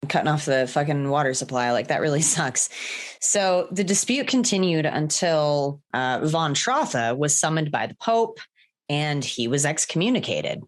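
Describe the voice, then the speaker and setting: A woman with a low voice and a drawl tells holiday folklore in a loose, chatty podcast style. She swears freely and laughs often, keeping things relaxed. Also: moderate pace. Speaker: podcast co-host. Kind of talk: casual storytelling chat.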